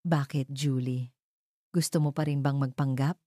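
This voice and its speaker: A woman's voice: rumbling low, steady tone, measured pace, dark tone, no echo, clean studio sound. A woman voicing a cold, cruel, scornful man, a scheming fiancé in an audio drama, with a mocking, menacing manner.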